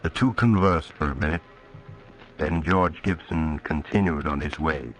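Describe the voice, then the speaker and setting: A man's voice: a bass voice, a little slow, a bit bright, soft background music. A man playing an ominous storyteller, narrating an old-time radio horror tale. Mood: grave, suspenseful. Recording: noisy old radio recording.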